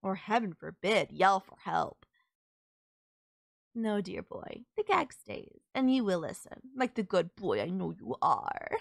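A woman's voice: mid-range voice, very animated, measured pace, vocal fry, no room sound, studio quality, full character voice. A woman playing a sultry, possessive kidnapper who gloats over and coaxes a captive in an intimate audio roleplay. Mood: teasing, menacing. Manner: teasing, coaxing, controlling.

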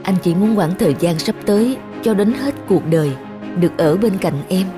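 Actor doing a gentle earnest young cartoon guy, hopeful stepdad type, music behind. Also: mid-range voice, lively intonation, moderate pace, close to an everyday voice. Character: kind, earnest young man, hopeful stepfather. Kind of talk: cartoon voice acting.

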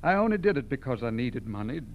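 An actor playing a nervous, reluctant garage owner mixed up in crime, hesitant and stammering, in a 1940s American radio drama. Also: male voice, mid-range voice, very animated, fast. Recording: dull, humming old radio recording.

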